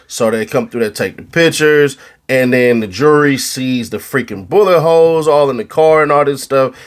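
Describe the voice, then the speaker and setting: A man from California giving casual, animated commentary on a trial, slangy and freely swearing. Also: medium pitch. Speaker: online commentator.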